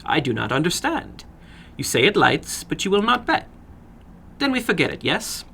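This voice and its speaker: Casting: a man playing a sinister little foreign gambler for a horror story, raised pitch, soft, polite, coaxing, foreign accent. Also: big pitch swings, vocal fry, a little hiss or hum, heavily acted. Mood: calmly menacing. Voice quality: soft, toneless. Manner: slow, courteous, coaxing, broken English. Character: funny little foreign gambler, soft-spoken, slyly menacing.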